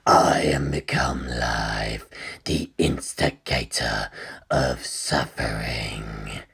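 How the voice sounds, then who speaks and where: Ancient god voice, super deep and husky, drawn out, ominous, solemn, audiobook. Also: a bit bright, full character voice. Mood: solemn menace. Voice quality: gravelly, husky whisper. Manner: extremely slow, solemn, breathy intoning. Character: vast, ancient, ominous godlike voice of the waters.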